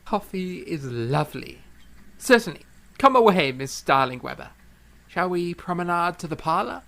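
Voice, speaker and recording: A woman playing a playful, teasing young gentleman composer in a period audio drama, with flowery, courtly speech. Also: lowish voice, expressive, moderate pace. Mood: gallant.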